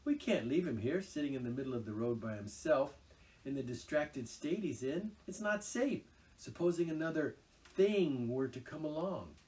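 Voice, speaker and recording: A man's voice: fairly high pitch, lively intonation, moderate pace, darkish tone, distant mic, pitched above the performer's own voice, lightly acted. A man voicing an anxious, fretful little male mole, speaking in a worried, pleading way. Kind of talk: storybook reading aloud.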